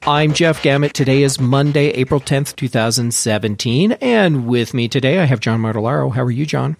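A man's voice: medium pitch, a little fast, vocal fry, soft background music. A man hosting a casual podcast, chatting with his co-hosts and explaining news step by step. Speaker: podcast host. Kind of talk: casual tech podcast chat.

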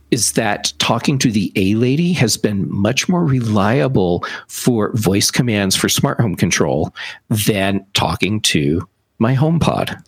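A man chatting casually as a tech podcast co-host, explaining things deliberately with pauses. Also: fairly low pitch, breathy edge, no echo.

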